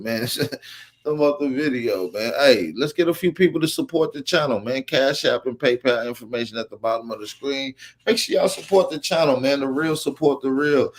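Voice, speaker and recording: Slangy man hyping his channel. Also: mid-range voice. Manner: slangy, hypes the channel and shouts out viewers. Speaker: YouTube channel host reacting to a clip. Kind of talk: casual reaction commentary.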